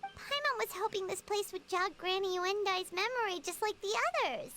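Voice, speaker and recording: Squeaky, tiny fairy companion. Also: female voice, normal speed, very noisy. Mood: curious. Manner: speaks of self in third person, perky. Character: tiny childlike fairy companion, eager and encouraging. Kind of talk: video game voice acting.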